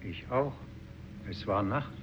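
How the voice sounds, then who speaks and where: Sober fisherman with low voice. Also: slow, a little hiss or hum. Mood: somber. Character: sober fisherman recalling a fateful voyage. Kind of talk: radio drama narration in character. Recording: dull radio recording with faint music.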